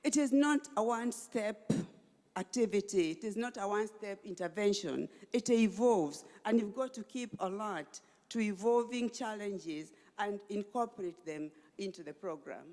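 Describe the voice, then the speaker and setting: A woman's voice: medium pitch, expressive, moderate pace, darkish tone. A woman speaking as a panelist in an earnest way, with hesitant fillers. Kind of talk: panel discussion. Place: large conference hall stage. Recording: long hall reverb.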